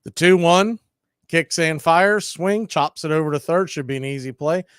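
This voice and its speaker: A man calling a live baseball game on radio, chatting and telling side stories between pitches. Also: moderate pace, fairly bright tone, clean tone, dry recording, studio quality. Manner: chatty, tells side stories and laughs at them. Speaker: radio play-by-play announcer. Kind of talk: live baseball play-by-play with casual chat.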